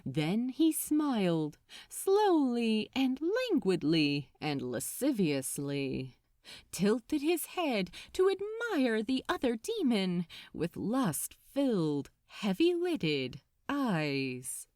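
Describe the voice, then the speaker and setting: A woman narrating scripted fiction dramatically, with theatrical, deliberate, suspenseful phrasing. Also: fairly high pitch, very animated, a little mellow, no echo, clean studio sound. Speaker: audiobook narrator.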